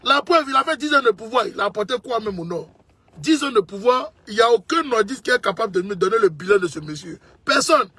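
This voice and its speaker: Guy ranting about politics, really high bright voice, kinda husky, repeats himself a lot. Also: expressive, fast, dry recording. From Côte d'Ivoire. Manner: emphatic, repeats phrases, addresses listener as brother. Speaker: political commentator. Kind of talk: impassioned political monologue.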